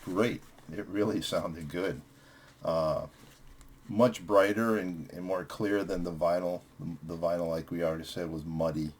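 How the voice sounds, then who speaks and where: A man's voice: deep voice, steady tone, a little slow, husky. A man hosting a music vlog, chatting casually as he reviews records with friends.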